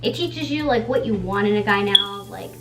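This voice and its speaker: A woman's voice: medium pitch, moderate pace, over music. A young woman in her twenties, a native Spanish speaker, chatting casually at home while doing her makeup, rambling with lots of "like" and the odd swear word. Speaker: beauty vlogger.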